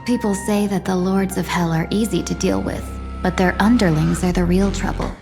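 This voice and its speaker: A woman's voice: fairly low pitch, steady tone, moderate pace, creaky, over music. A woman playing a young envoy in a video game, with formal, theatrical, composed delivery. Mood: cold, menacing. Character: young female merchant-guild envoy posing as deadly assassin.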